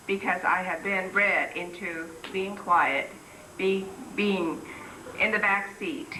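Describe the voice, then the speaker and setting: A woman's voice: mid-range voice, bright, forceful tone, a little hiss or hum. A middle-aged woman from the Philippines with a slight Filipino accent, speaking earnestly and firmly on a symposium panel. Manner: earnest, firm, lecturing with repeated "okay?". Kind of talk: public talk at a community symposium. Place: community center hall, recorded from afar. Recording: echoey hall recording from far off.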